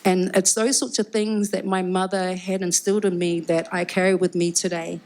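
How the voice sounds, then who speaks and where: A middle-aged woman with a New Zealand accent talks candidly to a live audience. Her voice sits a little low, and she is frank and reflective, circling back and restarting as she builds her point. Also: little pitch movement, normal speed, a little mellow. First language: Cook Islands Māori. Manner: forthright, reflective, frequent "um" and restarts. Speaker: panel guest.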